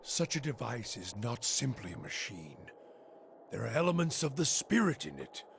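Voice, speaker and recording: An actor playing a bitter, fanatical older fire priest in a fantasy game, gravelly and scornful, preaching in slow pronouncements. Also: male voice, mid-range voice, very animated, a little hiss or hum. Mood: grim.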